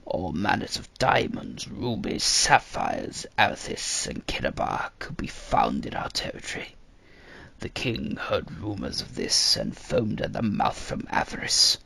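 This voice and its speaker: A man voicing a very old, embittered fantasy survivor in a storybook, raspy, strained and slow, with a grave, labored manner. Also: low-pitched, very animated, bright, forceful tone, no echo, pitched below the performer's own voice, full character voice. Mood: grim, pained.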